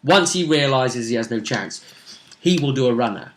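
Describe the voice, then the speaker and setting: A man's voice: medium pitch, expressive, moderate pace, fairly bright tone. A man chatting casually as game master, recapping a tabletop role-playing session and often trailing off mid-sentence.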